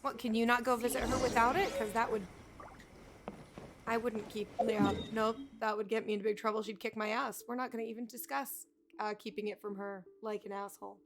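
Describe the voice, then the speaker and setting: A woman's voice: fairly high pitch, little pitch movement, a little fast, background noise. A woman streaming a game with casual live commentary, sarcastically answering the characters back. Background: game music.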